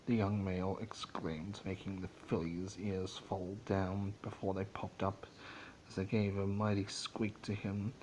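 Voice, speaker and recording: A man giving an amateur dramatic reading of a fantasy story, theatrical but halting, stumbling over words. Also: on the low side, darkish tone, slight background noise, poor audio. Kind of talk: dramatic storybook narration of fan fiction.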